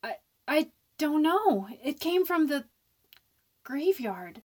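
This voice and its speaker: An actress plays a rattled young working woman in a radio horror play. Her voice is high and full of nerves. She is stubborn and sharp-tongued, snapping when pressed. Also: very animated, slow, exaggerated voice. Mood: distressed. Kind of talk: radio horror drama acting.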